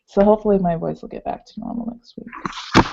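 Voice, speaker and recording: Hoarse young woman on a call. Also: mid-range voice, steady tone, brisk. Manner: apologetic, chatty, many ums. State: hoarse, voice dropped from illness. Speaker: student group member. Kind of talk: casual video call meeting. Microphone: computer mic on a video call. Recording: dull lo-fi call audio.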